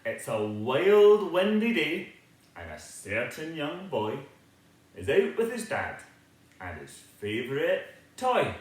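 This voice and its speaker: A man reads a picture book to children in a high, swooping voice. His delivery is slow and theatrical, with a sing-song lilt that invites listeners to join in. The room around him rings with heavy echo. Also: huge pitch swings. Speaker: storybook reader. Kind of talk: animated children's picture-book read-aloud. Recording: very echoey, reverberant room.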